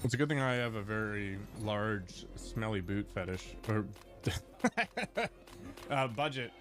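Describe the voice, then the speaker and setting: A man's voice: fairly low pitch, lively intonation, slow, music in the background. A man streaming a video game, chatting casually and crudely with his viewers and poking fun at himself. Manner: joking, crude, self-mocking. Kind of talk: casual gameplay commentary to chat.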